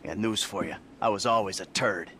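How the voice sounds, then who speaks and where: A man's voice: lowish voice, a little fast, sharp tone, a little hiss or hum. A man playing a bitter, middle-aged retired bank robber in a game, with an American accent and a sarcastic, profane way of talking. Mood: weary, sarcastic. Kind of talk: dramatic video game acting.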